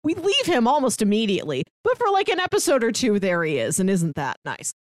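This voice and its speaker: A woman's voice: fairly high pitch, wildly swooping pitch, brisk, dry recording, studio quality. A woman hosting a podcast, chatty and conversational, asking questions and recapping at length. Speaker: podcast host. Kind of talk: casual chat recapping a show.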